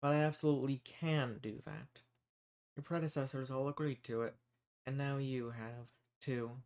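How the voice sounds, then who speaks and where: A man performs an ancient male archdemon in a dark roleplay audio. He is smug and dominant, speaking in a medium-pitched voice. His condescending, amused delivery lingers on the words he wants to stress. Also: steady tone, natural voice. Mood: amused, menacing. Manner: condescending, amused, drawn-out emphasis. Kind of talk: scripted roleplay audio monologue.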